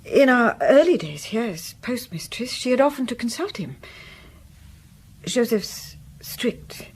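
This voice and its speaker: A woman's voice: on the high side, big pitch swings, unhurried, slightly rough, exaggerated voice. A woman playing an anxious, protective wife and mother in a radio drama, speaking formally and hesitantly. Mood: resentful.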